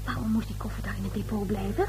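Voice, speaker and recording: An actress playing a touchy, defiant young daughter in a radio play, petulant and cheeky. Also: female voice, medium pitch, steady tone, fast talker, music in the background, natural voice. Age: young adult.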